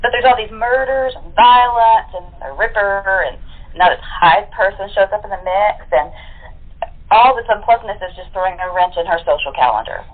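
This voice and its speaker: A woman guest talks casually in an interview. Her voice has a middle pitch. The audio is narrow and tinny, like a phone call. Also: normal speed. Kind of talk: casual chat. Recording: tinny phone-line sound.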